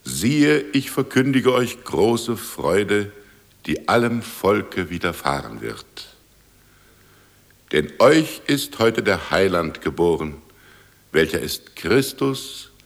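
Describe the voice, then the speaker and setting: An actor playing a solemn reciter of scripture in a radio play, declaiming the Christmas gospel. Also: male voice, low-pitched, very animated, takes it slowly, vocal fry, exaggerated voice. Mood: solemn, proclaiming.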